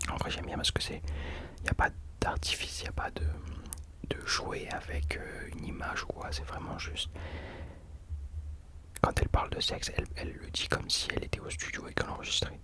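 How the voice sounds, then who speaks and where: A man's voice: normal speed, long pauses. A young man whispering casual ASMR commentary as a host, hesitantly searching for his words.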